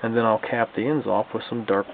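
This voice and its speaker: A man with a creaky, gravelly voice talks viewers through hands-on work in a casual, folksy way. He sounds like a hobbyist thinking aloud as he goes. The audio is narrow and phone-like, with a faint layer of noise behind him. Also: fairly low pitch, steady tone, brisk. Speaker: hobbyist radio restorer and video host. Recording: lo-fi, phone-like band, slight background noise.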